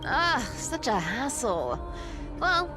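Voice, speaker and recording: A woman voicing a smug, playful female trickster thief in a video game, theatrical and teasing with breathy giggles. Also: sits high, very animated, takes it slowly, sharp tone, full character voice. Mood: taunting, playful. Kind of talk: anime-style video game voice acting. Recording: game music underneath.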